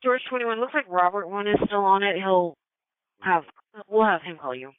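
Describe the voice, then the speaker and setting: High clear male dispatcher over radio. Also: lively intonation, normal speed, no room sound. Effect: two-way radio. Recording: narrow-band police scanner radio, dry.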